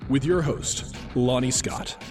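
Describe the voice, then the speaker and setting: A man's voice: medium pitch, slow. A man delivering a scripted podcast introduction as an announcer. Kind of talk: scripted show intro narration. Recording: distant-sounding voice under music. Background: intro music.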